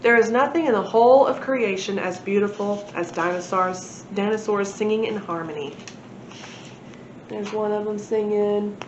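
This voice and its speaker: A woman hosting a children's book read-aloud, with an informal, chatty, enthusiastic manner. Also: moderate pace. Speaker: library read-aloud host. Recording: echoey room, muffled, noisy with low rumble.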